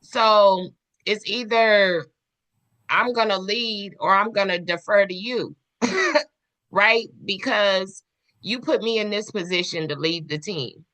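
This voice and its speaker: A woman in a casual podcast discussion who speaks in a measured way, asking questions and telling stories from work. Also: mid-range voice, fairly bright tone, poor audio. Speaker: podcast panelist.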